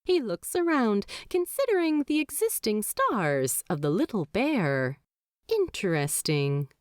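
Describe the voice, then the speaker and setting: A woman narrating a fanfiction audiobook in a measured, expressive storytelling manner. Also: mid-range voice, clear, resonant tone, dry recording, clean studio sound.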